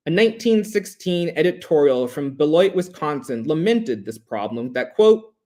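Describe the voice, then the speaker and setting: A man with a slightly high voice delivers a prepared history lecture. His intonation swings widely as he stresses key words. The phrasing is formal and measured, in the manner of an educational presenter. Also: big pitch swings, mellow.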